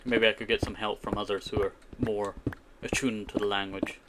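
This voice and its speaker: A man with a lowish voice chats loosely while he plays, circling back with "you know" and "I tell you" as he answers viewers. The sound is a home streaming setup, with clear keyboard clicks and typing behind him. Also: level tone, moderate pace, very noisy. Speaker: streamer playing a modded survival game. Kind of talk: casual live-stream chat while playing, answering chat.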